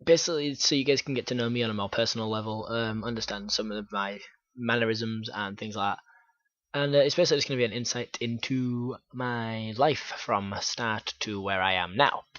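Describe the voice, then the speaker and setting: A young man from Yorkshire telling his life story in a halting, frank vlog, full of fillers. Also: on the low side, a bit bright, dry recording, muffled recording. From Yorkshire, England. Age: young adult. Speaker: YouTuber.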